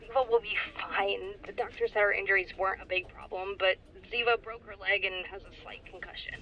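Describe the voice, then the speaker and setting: A woman playing an anxious, hurried family friend in an audio drama, agitated and confiding, heard through a telephone filter. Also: mid-range voice, steady tone. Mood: urgent. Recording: dull telephone-filtered sound.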